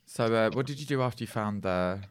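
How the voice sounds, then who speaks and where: A man's voice: on the low side, brisk, clear, resonant tone, no room sound. A man interviewing a guest, asking plain questions and sometimes repeating himself as he asks.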